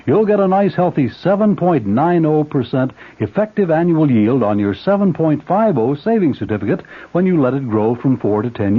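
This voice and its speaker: Radio ad announcer, male, husky voice, folksy and emphatic, vintage limited-band broadcast sound. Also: mid-range voice, phone-quality sound. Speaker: commercial announcer. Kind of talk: scripted radio advertisement.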